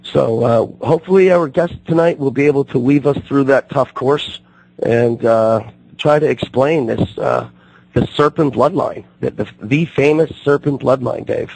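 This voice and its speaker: A man hosting a radio talk show, his voice still recovering from being lost, talking haltingly with many fillers and restarts. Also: mid-range voice, vocal fry, a little mellow, slightly rough, no room sound, rough recording.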